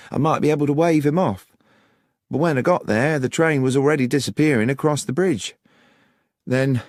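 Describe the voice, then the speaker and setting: A man voicing an anxious, deferential young country woman with rustic, halting speech, in an audiobook. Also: medium pitch, dry recording, studio quality, pitched above the performer's own voice, natural voice. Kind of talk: audiobook character voice.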